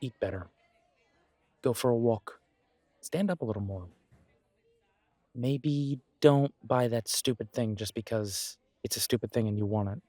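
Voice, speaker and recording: A man's voice: medium pitch, warm tone, no echo, lightly acted. A man playing a supportive, self-deprecating best friend in a conversational roleplay audio, talking in a reassuring, reflective way. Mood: encouraging. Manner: reassuring, chatty, reflective pauses. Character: warm, encouraging male best friend, self-deprecating, gently earnest.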